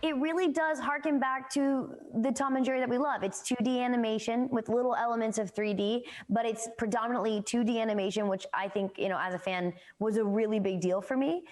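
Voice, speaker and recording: A woman's voice: on the high side, steady tone, brisk, hardly any pauses. A woman speaking enthusiastically as a fan in an interview soundbite, with frequent fillers.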